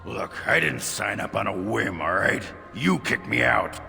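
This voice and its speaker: A gruff, rowdy dwarf warrior from a fantasy game. The voice is heavily gravelled and swings up and down as it drawls slowly and crudely. It rings in a long reverb. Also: male voice, lowish voice, very animated, pushed and bright, faint music behind, full character voice. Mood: defensive. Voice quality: gravelly, growling. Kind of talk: video game voice acting.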